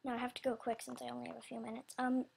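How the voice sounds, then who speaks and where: A woman's voice: a little high, completely flat delivery, rapid-fire, gravelly, darkish tone, a little hiss or hum. A woman giving a casual, loosely scripted announcement about animation characters in a hesitant, rambling, apologetic way. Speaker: animator describing characters for voice auditions.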